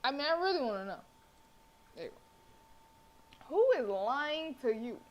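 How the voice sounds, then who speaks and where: A woman's voice: high voice, sing-song, normal speed, lo-fi sound. A sassy, colloquial woman reading and reacting aloud as she streams a game. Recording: faint background noise.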